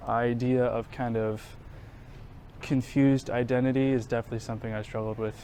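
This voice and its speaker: A Maryland actor with an American accent and medium-pitched voice in a theatre promo interview, slight hum of noise behind him. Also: male voice, little pitch movement, moderate pace, mellow. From Maryland, United States. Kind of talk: cast interview.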